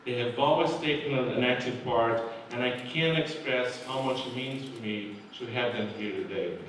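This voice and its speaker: A man's voice: mid-range voice, distant mic, noisy background. Man giving a speech in an echoey hall. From Brazil. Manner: earnest, heartfelt, measured. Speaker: award honoree. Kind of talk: acceptance speech. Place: large hall. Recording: very echoey hall, mic from afar.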